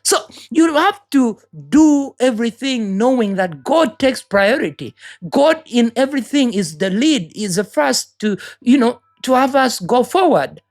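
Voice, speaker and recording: A woman's voice: on the high side, sing-song, measured pace, a little mellow. A woman from Uganda with a Ugandan accent, giving an earnest, preachy motivational talk and often repeating words as she searches for the next one. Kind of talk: motivational monologue.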